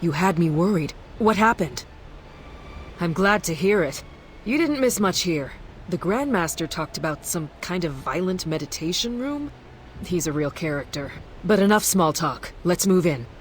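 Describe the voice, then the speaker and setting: Young fighter comrade, fantasy game, high-pitched, casual, friendly. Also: male voice, lively intonation, normal speed, slight background noise. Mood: friendly, relieved. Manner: breezy, informal. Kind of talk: scripted fantasy game dialogue.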